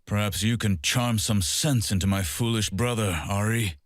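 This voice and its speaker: A man voicing a grim, solemn undead swordsman from a video game, speaking in a measured, dramatic way over music. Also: fairly low pitch, no room sound, close to an everyday voice. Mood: weary gravity. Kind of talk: video game character voice acting.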